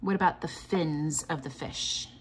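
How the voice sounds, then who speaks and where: Low-voiced woman teaching children, noisy recording. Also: unhurried. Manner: encouraging, questioning, chanting patterns aloud. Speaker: teacher reading a picture book to children. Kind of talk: children's read-along lesson. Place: indoors at a table.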